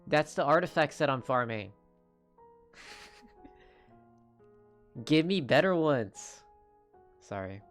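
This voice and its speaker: A man's voice: on the high side, lively intonation, very slow, long pauses. A man giving casual live commentary as a game streamer. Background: game music.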